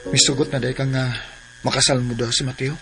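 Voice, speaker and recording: An actor playing a caddish, evasive, self-pitying older brother in a radio melodrama, cajoling, with a Cebuano accent. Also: male voice, medium pitch, little pitch movement, fast talker, gravelly, loud music behind the voice, lightly acted. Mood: smug. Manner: cajoling, tag words such as "ha". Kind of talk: melodramatic Filipino radio drama acting.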